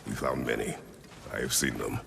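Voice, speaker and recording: A man playing a stern, battle-worn warrior father in a video game, terse and stoic, with a very deep gravelly voice. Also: fairly even intonation, normal speed, in a large hall, background noise, full character voice. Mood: terse, guarded. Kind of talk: video game cinematic acting.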